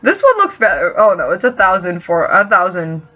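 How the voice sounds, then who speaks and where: A woman's voice: fairly low pitch, big pitch swings, a little fast, bright, forceful tone. A young woman in casual chat, bantering in a wry way with some mild swearing. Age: young adult. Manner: wry, mildly profane banter. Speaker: co-host. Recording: muffled, noisy lo-fi home recording.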